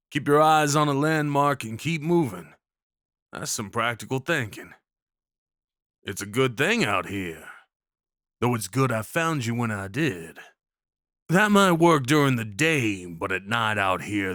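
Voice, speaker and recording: A man's voice: mid-range voice, fairly bright tone, no room sound, studio quality. A man playing a friendly, courteous Old West cowboy in an audio roleplay, with a folksy drawl and rustic slang. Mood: admiring, friendly. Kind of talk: audio roleplay acting, addressing the listener.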